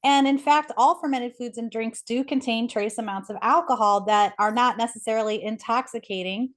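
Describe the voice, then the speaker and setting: A woman's voice: fairly high pitch, moderate pace. A woman speaking as an expert interview guest, explaining things conversationally in an articulate, enthusiastic way.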